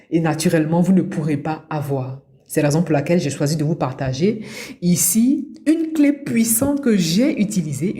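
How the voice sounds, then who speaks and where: A woman's voice: mid-range voice, mellow. A middle-aged woman from Ivory Coast, giving a motivational coaching talk in a didactic way, repeating key points for emphasis. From Abidjan, Ivory Coast. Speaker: personal development coach, podcast host.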